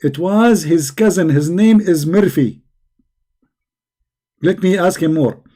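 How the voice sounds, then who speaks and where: A hoarse man who is losing his voice, giving a sarcastic, scornful commentary. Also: high voice, slow, mellow. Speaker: religious critic. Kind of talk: mocking video commentary.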